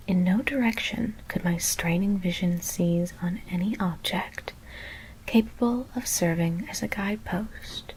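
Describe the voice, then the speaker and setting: A woman whispering a scary story in ASMR style, reading in a breathy, deliberate, literary manner. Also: on the low side, darkish tone, slight background noise, rough recording. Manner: measured, deliberate literary narration.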